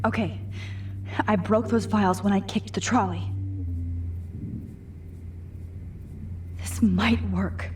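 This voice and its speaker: An actress playing a defiant young female student held captive in a video game, speaking in an earnest, hushed manner. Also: mid-range voice, steady tone, normal speed, a little hiss or hum, close to an everyday voice. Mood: anxious resolve. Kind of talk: naturalistic video game voice acting.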